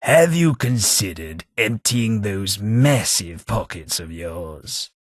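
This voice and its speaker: An actor playing a sardonic, centuries-old undead British aristocrat ghoul companion in a game, with a dry, sarcastic posh drawl and a British accent. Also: male voice, on the low side, animated, takes it slowly, dry recording. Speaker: companion character. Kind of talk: game voice lines.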